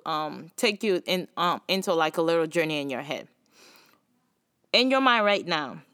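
A young woman born in Haiti talks earnestly about faith and mental health in a loose, casual way, full of little fillers. Her voice is smooth and clear, a bit low for a woman, and recorded close and dry. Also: fairly bright tone, no room sound. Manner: earnest, informal, many fillers. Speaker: speaker sharing faith and mental health advice. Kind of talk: casual faith-based talk.

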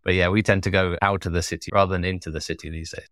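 A middle-aged man from Wales, chatting casually as a podcast guest, relaxed and quick to laugh. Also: sits low, no room sound, studio quality. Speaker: podcast guest, web developer. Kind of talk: casual interview chat.

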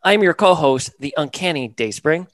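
A podcast co-host asking gushing, excited questions of a guest. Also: mid-range voice, normal speed, no room sound, studio quality. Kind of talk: enthusiastic podcast hosting.

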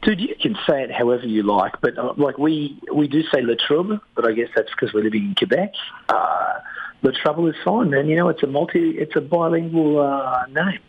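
A man's voice: on the high side, expressive, brisk, husky, no room sound, phone-quality sound. Australian male singer, easygoing and jokey, dry close sound. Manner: laid-back, joking, says "mate". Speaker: band lead singer, guest. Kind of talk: casual radio interview.

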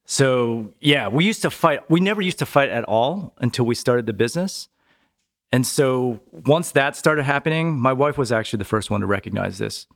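Man, podcast guest, casual and chatty, mid-pitched voice. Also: normal speed, vocal fry. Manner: relaxed, chatty, tags sentences with "right?". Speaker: podcast guest, business owner. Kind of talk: casual conversational interview.

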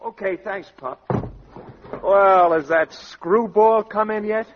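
Sarcastic high-set young son, old radio. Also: male voice, slow pace, a little hiss or hum. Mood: annoyed sarcasm. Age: young adult. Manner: sarcastic slangy griping. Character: irritated, wisecracking young son, tinkering inventor. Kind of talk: old-time radio comedy acting. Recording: muffled old radio broadcast recording.

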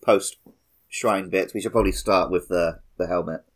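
A man's voice: sits low, fairly even intonation, normal speed. A man co-hosting a podcast, explaining things in a casual, conversational way. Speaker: podcast host.

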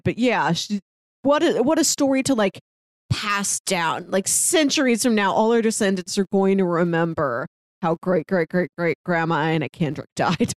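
A woman's voice: medium pitch, no echo, studio quality. A woman chatting casually about a film as a podcast co-host, often laughing lightly through her words.

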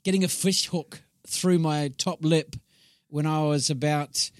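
A man in his fifties with a New Zealand accent, a guest in a casual interview who tells animated stories and laughs as he talks. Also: a little high, measured pace, dry recording, clean studio sound. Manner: animated storyteller who laughs through anecdotes. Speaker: guest, inspirational speaker.

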